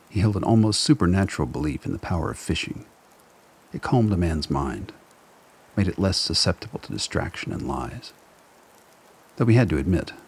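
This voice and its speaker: A man's voice: a bass voice, breathy edge. A man narrating a scripted radio drama as a storyteller.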